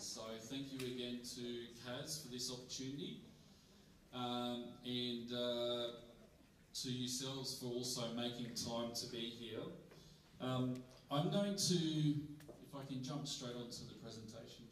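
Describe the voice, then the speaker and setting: A middle-aged Australian man giving a relaxed conference presentation, with plenty of ums and uhs. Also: mid-range voice, unhurried, a little hiss or hum. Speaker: guest presenter. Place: large conference hall. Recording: echoey hall from far off.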